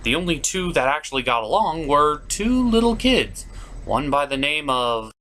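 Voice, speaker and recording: Theatrical man with swooping, animated pitch. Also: moderate pace. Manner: theatrical, exclamatory. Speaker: cartoon reviewer and host. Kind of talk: scripted comedic review narration.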